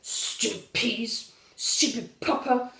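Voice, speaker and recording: A woman's voice: on the high side, slow, vocal fry, bright, forceful tone, echoey room, voice pushed up, heavily acted, poor audio. A woman voicing a sulky, indignant young boy in a storybook, whining and growling his complaints. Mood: sulky grumbling.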